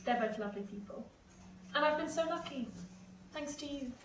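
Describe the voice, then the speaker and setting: A woman playing a gentle, reassuring young adult daughter in a stage play, speaking in a measured way. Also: high voice, animated. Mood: grateful. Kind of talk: amateur stage-play acting, naturalistic dialogue. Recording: roomy, slightly noisy, distant home recording.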